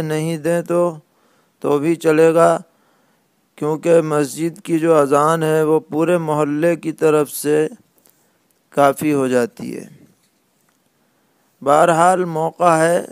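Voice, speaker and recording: A man who speaks as an Islamic scholar, answering religious questions in a measured, deliberate way with pauses mid-sentence. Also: fairly high pitch, smooth, dry recording. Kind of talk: religious question-and-answer lecture in plain rulings.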